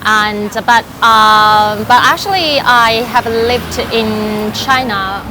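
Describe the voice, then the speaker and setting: A woman's voice: a little high, slow pace. A woman from Taiwan, a life and business coach chatting in an interview with many fillers and light laughs. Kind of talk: casual interview answers. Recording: noisy background.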